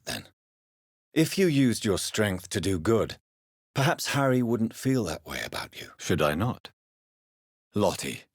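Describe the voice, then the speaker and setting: A grave physician turned vampire in a period video game. His voice sits very low and has a husky edge. He speaks in formal, articulate phrases with expressive rises and falls, earnest and courteous. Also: no echo, studio quality, exaggerated voice. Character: grave, courteous male doctor turned vampire, 1910s London. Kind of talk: dramatic video game dialogue.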